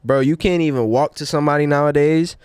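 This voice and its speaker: Casting note: young guy, casual podcast chatter, smooth and clear, very dry close mic. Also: a little high, fast, darkish tone, dry recording. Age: young adult. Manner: rambling, restarts with "like" and "you know". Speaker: podcast host. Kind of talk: casual chat.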